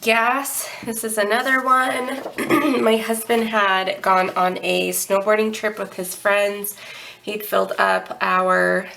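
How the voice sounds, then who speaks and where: A young woman walks through her budget with a stuffy, nasal head-cold voice. She talks slowly and unhurriedly, with a gravelly edge. Ums and throat clearing come often. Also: medium pitch, little pitch movement, noisy background. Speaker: budgeting channel host. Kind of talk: casual budget walkthrough.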